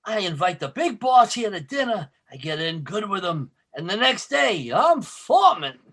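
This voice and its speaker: An actor playing a sly, wheedling, ambitious factory worker in an old-time radio sitcom, with wisecracking, folksy speech. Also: male voice, sits high, very animated, normal speed, a bit bright, breathy edge, full character voice.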